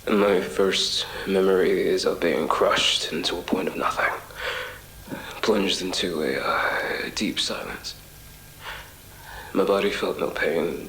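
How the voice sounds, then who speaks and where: Actor as a deep, haunted, halting man. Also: full character voice. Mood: shaken, subdued. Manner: halting, hushed, breathy pauses, reflective. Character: shaken, apologetic man haltingly recounting an otherworldly ordeal. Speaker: voice actor in an audio drama. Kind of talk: intimate first-person audio drama monologue. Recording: roomy echo with music bed. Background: music.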